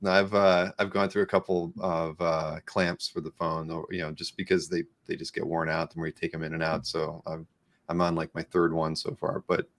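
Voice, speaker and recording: A man's voice: very deep voice, little pitch movement, moderate pace, a bit bright. A man hosting a casual interview in a rambling, conversational way. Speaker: host of a comic art live show.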